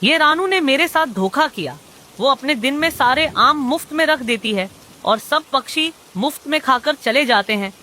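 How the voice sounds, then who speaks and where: Woman voicing a high, bright greedy male crow. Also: animated, moderate pace, slight background noise. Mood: aggrieved. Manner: blunt, bargaining, dismissive. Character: greedy, grumbling male crow who sells mangoes. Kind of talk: animated children's moral story dialogue.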